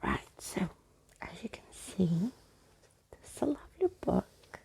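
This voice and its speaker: A woman speaking softly and intimately in an ASMR bedtime storytelling style, as if to one listener, with a breathy, husky, creaky voice. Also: medium pitch, huge pitch swings, unhurried. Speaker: ASMR storyteller reading a book aloud. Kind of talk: soft-spoken ASMR bedtime roleplay and reading.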